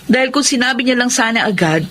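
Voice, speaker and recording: An actress playing a wife's frank, scolding friend in a Filipino radio drama, pointed and reproachful. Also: female voice, fairly high pitch, lively intonation, fast, a bit bright, a little hiss or hum.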